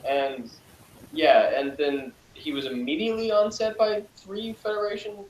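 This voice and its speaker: A man's voice: a little high, level tone, moderate pace, a little mellow. A man co-hosting a casual review chat, rambling and dismissive with lots of fillers. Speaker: podcast co-host. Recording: echoey room with faint noise.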